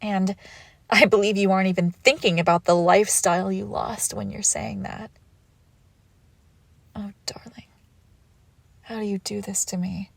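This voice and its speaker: A woman playing a seductive, menacing villain crime boss and wounded ex-lover in an intimate audio roleplay, commanding and breathy, often dropping into whispers. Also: mid-range voice, steady tone, unhurried, long pauses, no echo. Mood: wounded, longing.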